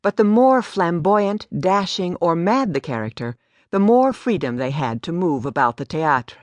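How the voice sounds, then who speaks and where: A woman's voice: on the low side, sing-song, normal speed, a little mellow, no room sound. A woman giving a scripted story narration as an audiobook narrator. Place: dry studio.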